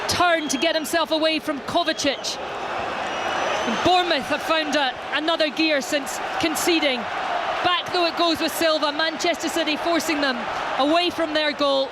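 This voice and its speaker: A man giving live play-by-play football commentary, calling the action with rising energy. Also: very high-pitched. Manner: fast, rising calls over the action. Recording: loud stadium crowd and noise behind.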